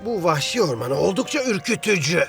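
A man playing a proud, competitive young male soccer star in a cartoon dub, with a gruff, defensive, sarcastic manner. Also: high voice, sing-song, fairly quick, a bit bright, soft background music, full character voice. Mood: wary, dry.

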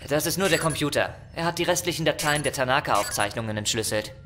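A man playing a loyal, earnest crewman in a sci-fi horror radio drama, crisp and direct. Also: fairly high pitch, lively intonation, quick pace, fairly bright tone, soft background music.

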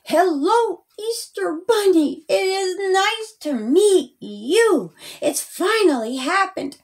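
A woman's voice: very high voice, expressive, takes it slowly, voice pushed up, heavily acted. A woman voicing a friendly, eager young boy in a children's storybook, earnest and sing-song. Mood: excited.

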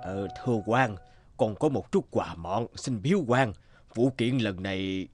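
A man's voice: lowish voice, a little slow, loud music behind the voice, natural voice. Man acting a fawning, nervous servant. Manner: hesitant, fawning. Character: obsequious, nervous male servant of an official. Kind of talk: cartoon voice acting.

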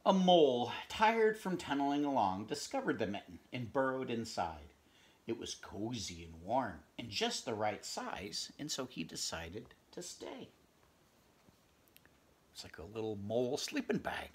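A middle-aged man reading a picture book aloud at home, expressive, pausing to chat about the pictures and dropping into whispers. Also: mid-range voice. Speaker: read-aloud storyteller. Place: home room, indoors.

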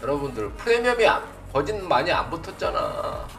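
Male livestream host, middle-aged, blunt and quizzing, very animated pitch, mic at a distance. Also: brisk, creaky. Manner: blunt, informal, quizzing viewers with repeated either-or questions. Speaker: stock broadcast host. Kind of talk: live stock chart commentary for viewers. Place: indoor desk at a computer, livestream setup. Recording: roomy, distant mic, faint music behind.

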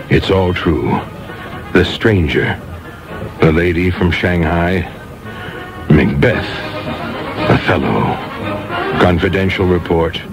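A grand, brooding old film director in a radio play. His voice is rock-bottom low and full of fry. He speaks slowly, with proud, weighty deliberation. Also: exaggerated voice. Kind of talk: radio drama monologue. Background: music.

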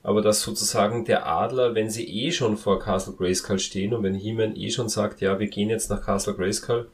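A man co-hosting a podcast, casually reviewing an audio drama in a halting way, repeating words as he thinks. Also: fairly low pitch, steady tone. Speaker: podcast co-host.

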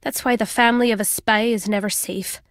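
A woman plays an older British former spy and journalist in a video game. Her voice sits fairly high, in a dry, close recording. She is wry and guarded, teasing yet fond as she speaks. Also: steady tone, fairly quick, no echo, close to an everyday voice. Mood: wistful, grave. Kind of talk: video game character dialogue.

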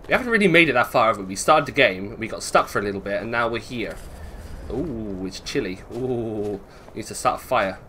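A man's voice: on the low side, expressive, brisk, pushed and bright, a little hiss or hum. A man giving casual, chatty gameplay commentary, thinking aloud playfully and swearing freely. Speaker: gaming video host.

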